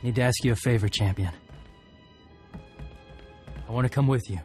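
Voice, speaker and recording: A man's voice: medium pitch, normal speed, darkish tone, close to an everyday voice. A man voicing a stoic, earnest young warrior in a video game, speaking in clipped phrases. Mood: resolute. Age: young adult. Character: determined, stoic young male Mandalorian warrior. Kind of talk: dramatic video game dialogue. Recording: faint game music behind the voice.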